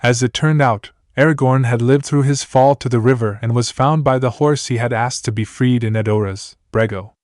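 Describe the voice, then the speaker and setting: A man reading a fan fiction story aloud in a steady, plain way. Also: medium pitch, moderate pace, hardly any pauses, dry recording, clean studio sound. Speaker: narrator.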